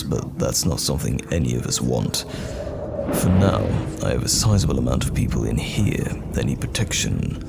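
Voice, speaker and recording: A man playing a steadfast knight general who protects common folk, measured, commanding and reassuring, in a fantasy audio roleplay. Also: very noisy, full character voice. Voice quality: deep, gravelly. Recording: background music under the voice. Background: music.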